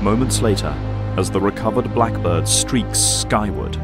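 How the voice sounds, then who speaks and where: A man's voice: on the low side, measured pace, over loud music. A man giving solemn, theatrical scripted narration for an audio drama.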